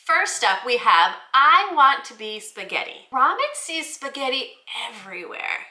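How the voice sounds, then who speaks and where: A woman giving an upbeat scripted presentation as a channel host, enunciating clearly like an enthusiastic teacher. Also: high voice, sharp tone, echoey room. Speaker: host of a children's book review channel.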